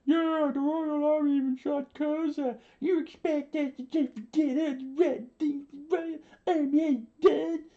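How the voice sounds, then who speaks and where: A man voicing an angry, defiant rebel from a crowd, shouting in a shrill, strained voice in a manga read-aloud. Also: fairly even intonation, moderate pace, highs cut off. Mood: outraged.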